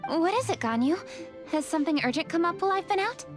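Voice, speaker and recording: A woman's voice: very high voice, animated, quick pace. An actress playing a poised, polite young noblewoman in a video game, courteous and precise in her speech. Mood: concerned. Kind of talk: video game character acting. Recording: light music behind.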